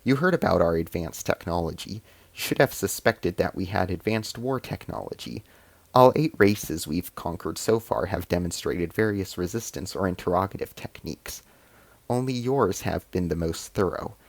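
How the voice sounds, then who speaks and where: A man's voice: sits low, expressive, normal speed, gravelly, no echo, full character voice. A man voicing a haughty alien female ambassador in a story, explaining and commanding with cold menace.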